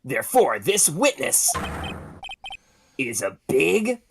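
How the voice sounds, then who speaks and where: A woman's voice: low voice, sing-song, slow, over music, pitched below the performer's own voice, heavily acted. A woman voicing a cocky male defense lawyer in a courtroom game, theatrical, triumphant and taunting. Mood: confident.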